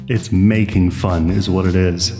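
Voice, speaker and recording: A man with a very low voice runs a tabletop game, describing scenes in a calm, blunt way. Music plays quietly behind him. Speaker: game master.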